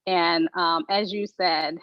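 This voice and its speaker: A woman's voice: fairly low pitch, fairly even intonation, slow, dry recording, dull audio. A woman from Liberia speaking personally and conversationally as a patient advocate on a panel.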